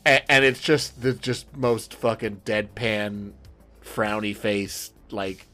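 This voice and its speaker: A man chatting casually as a podcast host, rambling and excitable, with plenty of swearing. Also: on the low side, slow pace, faint music behind. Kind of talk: casual chat.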